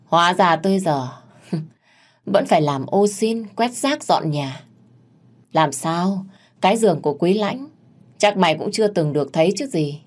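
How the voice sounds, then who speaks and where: A woman's voice: on the low side, lively intonation, unhurried, pauses often. A woman voicing a haughty, spiteful young female rival in an audio story, sneering and insulting. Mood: mocking.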